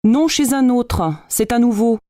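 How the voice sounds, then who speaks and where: A woman acting out everyday scripted dialogues for language learners, speaking clearly and deliberately. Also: fairly high pitch, dark tone, dry recording, clean studio sound.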